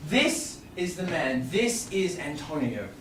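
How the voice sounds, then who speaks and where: A grateful young gentleman husband, played by a man in a Shakespeare stage reading. His speech rises and falls widely, heard from far off in an echoey theatre. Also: a little high, very animated, slow pace, exaggerated voice. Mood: gracious. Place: small theatre stage, far audience camera. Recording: echoey stage, distant, faint noise.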